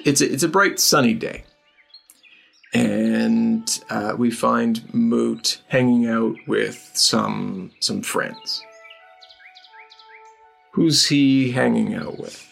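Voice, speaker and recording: A man narrating a tabletop game as game master, in an unhurried, halting way. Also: mid-range voice, vocal fry, fairly bright tone, soft background music.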